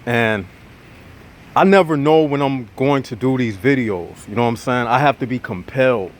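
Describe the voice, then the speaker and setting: A man's voice: mid-range voice, normal speed. A man hosting his own channel, talking straight to his viewers in a casual, preachy way, slightly nasal from a cold, with a lilt. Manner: preachy, animated, addresses viewers as y'all. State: nasal, getting over congestion. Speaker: channel host.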